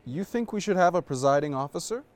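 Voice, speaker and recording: A man's voice: on the high side, lively intonation, quick pace, natural voice. A man playing a haughty, touchy young nobleman with formal, pompous diction in a comedic fantasy audio drama.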